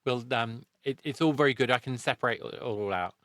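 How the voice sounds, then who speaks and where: A man's voice: mid-range voice, a little fast, fairly bright tone, no room sound. A man hosting a casual interview, encouraging and affirming as he talks. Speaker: podcast host, interviewer.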